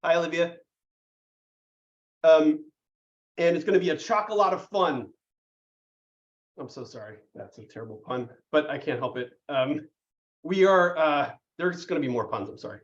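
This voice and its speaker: A chatty, upbeat man with a fairly high voice hosts a live online class, rambling through fillers and cheerful puns. His sound comes over a video call and is dull and lo-fi. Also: lively intonation, normal speed, long pauses. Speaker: host.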